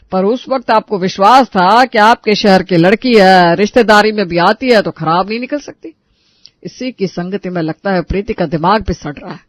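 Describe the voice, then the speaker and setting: A woman's voice: a little high, no room sound, lightly acted. A woman voicing an angry, domineering middle-aged father who scolds and accuses in a dramatised family story.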